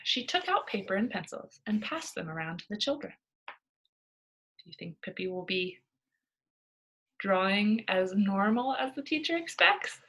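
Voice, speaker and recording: Female reader for children's story narration, expressive, mid-pitched with lively swings. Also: unhurried, pauses often, poor audio.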